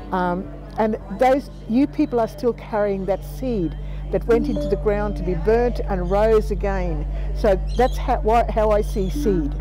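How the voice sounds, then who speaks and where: A woman's voice: mid-range voice, low-quality recording. An elderly Australian woman in her seventies telling her views in a reflective, plain-spoken interview, repeating phrases for emphasis. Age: 70s. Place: outdoors on a planted patio. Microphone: lapel mic.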